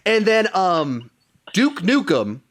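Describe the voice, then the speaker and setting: A man with a fairly high voice hosts a film podcast. He talks in a casual, conversational way, as if discussing things with friends. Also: big pitch swings, slow. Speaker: podcast host.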